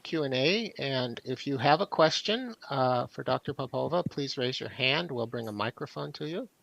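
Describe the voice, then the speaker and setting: A man's voice: medium pitch, expressive, moderate pace, creaky, fairly bright tone, no echo, poor audio. A man moderating a public lecture, opening the question-and-answer session with a few fillers. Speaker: event moderator. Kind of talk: announcing Q&A.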